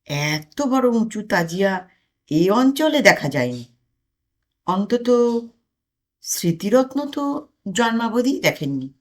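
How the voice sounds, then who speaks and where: A woman reads a humorous story aloud at a slow pace. Her delivery is dramatic and very lively in pitch, with deliberate pauses. Her voice sits in the middle range for a woman. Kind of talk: comic audiobook narration.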